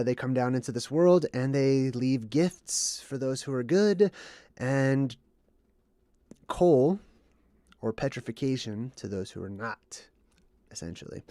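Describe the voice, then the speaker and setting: A man's voice: medium pitch, dark tone, no echo, clean studio sound. A man hosting a livestream, explaining to his audience while a bit under the weather and congested, often checking in with "okay" or "right". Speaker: livestream host. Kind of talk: explanatory monologue with chat reading.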